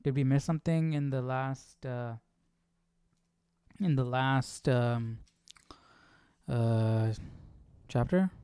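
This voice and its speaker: Slow, smooth-voiced man musing aloud. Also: medium pitch, fairly even intonation, mellow, dry recording, clean studio sound. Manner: questioning, musing to himself. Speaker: host of a live Bible study. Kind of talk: casual reading and thinking aloud.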